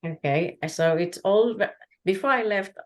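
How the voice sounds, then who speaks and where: A woman whose first language is Hebrew, a podcast guest telling her story in a halting way, with many restarts. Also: mid-range voice. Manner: halting, frequent restarts and fillers. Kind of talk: candid conversational storytelling.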